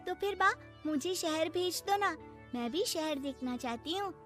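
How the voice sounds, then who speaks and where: A woman plays an eager, naive village girl from a cartoon moral tale. Her voice is very high and bright, with a childlike, chirpy delivery and faint music underneath. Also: expressive, measured pace, heavily acted. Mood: pleading, excited. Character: excitable, naive, boastful village schoolgirl, eager for the city. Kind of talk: Hindi moral-story cartoon dubbing.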